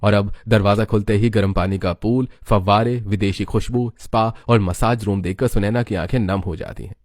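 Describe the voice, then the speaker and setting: A man's voice: on the low side, fairly even intonation, moderate pace, darkish tone, no room sound, studio quality. A man narrating a scripted moral story as its storyteller.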